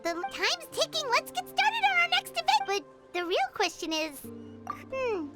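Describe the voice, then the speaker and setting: A woman voicing a tiny, excitable fairy girl companion in an anime game, chirpy and cute. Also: extremely high pitch, normal speed. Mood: upbeat. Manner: speaks of herself in third person, exclaiming. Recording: studio voice under prominent game music.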